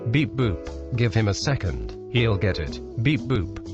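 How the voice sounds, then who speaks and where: A man playing a deadpan robot sidekick, low and very unhurried. The voice is laconic and dryly consoling, in a dull lo-fi recording of a comic space serial. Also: over music. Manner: deadpan, slow, says robot beeps as words. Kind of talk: comic sci-fi radio serial acting.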